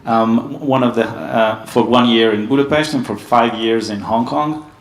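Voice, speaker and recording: A man from Hungary giving a conference panel talk, steady and hesitant with many ums. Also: mid-range voice, a little slow, echoey room, low-quality recording. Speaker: panel speaker. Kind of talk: conference presentation.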